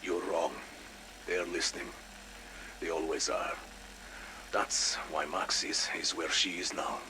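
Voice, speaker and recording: A man's voice: low-pitched, level tone, slow, background noise. A man playing a wary, grim secret informant in a video game, speaking in a terse, guarded, ominous way. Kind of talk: video game spy drama acting.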